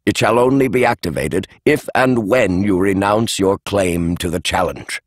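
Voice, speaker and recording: A man's voice: deep voice, measured pace, dry recording. A man reading the part of a formal elderly lawyer explaining the terms of a will. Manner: formal, precise. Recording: dry studio audiobook.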